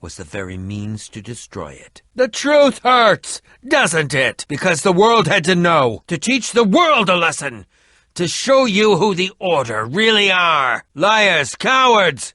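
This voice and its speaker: An actor playing an eccentric middle-aged schemer in a video game, with a dramatic, haughty and defensive manner. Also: male voice, on the high side, pushed and bright, no room sound, clean studio sound, exaggerated voice. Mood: agitated, dramatic. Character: eccentric theatrical middle-aged male potion-brewing schemer. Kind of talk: video game character dialogue.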